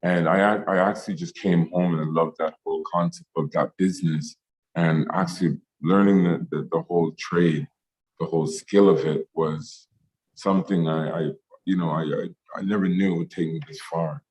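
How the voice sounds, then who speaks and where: A man from Jamaica speaking as a ceramic artist in an online meetup interview, halting with many fillers and restarts. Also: low voice, fairly even intonation, darkish tone, rough recording.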